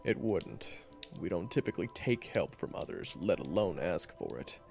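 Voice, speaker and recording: A man playing a gruff, blunt, impatient monster hunter with a gravelly voice in a fantasy audio drama. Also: deep voice, sing-song, normal speed, a little mellow, soft background music, heavily acted. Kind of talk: fantasy audio roleplay acting.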